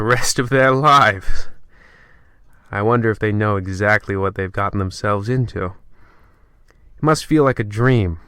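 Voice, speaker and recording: A man's voice: on the low side, pushed and bright, smooth, dry recording. A man playing a sly, ominous, teasing host of an old-time radio mystery show, narrating theatrically.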